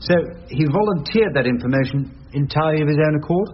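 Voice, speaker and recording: A man's voice: fairly high pitch, animated, fast talker, natural voice. Shrewd English male barrister played by an actor, wry and articulate, very varied intonation, dull noisy radio recording. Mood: probing. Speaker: barrister and narrator. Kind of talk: radio courtroom drama, narration and cross-examination.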